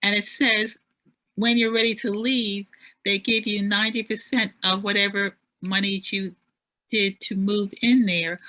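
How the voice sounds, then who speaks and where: A woman with a bright, clear voice asks questions in a plain, hesitant way. She is an attendee talking with the host. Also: little pitch movement, a little slow, poor audio. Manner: says she has a high voice. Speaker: workshop attendee asking questions.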